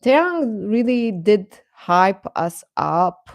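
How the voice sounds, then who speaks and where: A woman's voice: medium pitch, very animated, extremely slow, no room sound, clean studio sound. A woman hosting a casual livestream, chatting to viewers in a hesitant, rambling, stop-and-start way. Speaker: livestream host.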